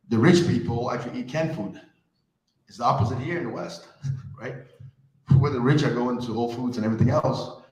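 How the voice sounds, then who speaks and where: A man from France giving a conversational talk to a live audience, often asking the crowd questions. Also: medium pitch. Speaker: conference speaker. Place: event hall. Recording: roomy hall with faint ambience.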